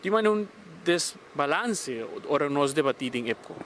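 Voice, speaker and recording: A man's voice: a little high, lively intonation, a little slow, fairly bright tone, slight background noise. A man reporting the news, emphatic in delivery.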